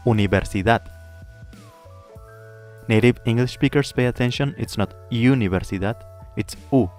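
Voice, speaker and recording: A man teaching a Spanish lesson, modeling phrases clearly and slowly for the listener to repeat. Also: medium pitch, a little mellow, soft background music. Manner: clear, slow model pronunciation with pauses to repeat. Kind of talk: language lesson with drills.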